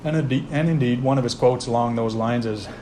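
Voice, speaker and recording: A man talks through the history of phrases in a relaxed, thoughtful way and often stops to say "uh" or start a sentence over. His voice sits at an ordinary middle pitch. A clear layer of background noise runs under the recording. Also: brisk. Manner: relaxed, thoughtful, frequent "uh" fillers and restarts. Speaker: host explaining word origins. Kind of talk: casual spoken lesson.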